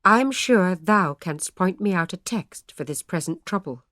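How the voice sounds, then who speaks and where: A woman voicing a mild, calm male parson who speaks in measured old-fashioned words. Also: fairly low pitch, no echo, clean studio sound.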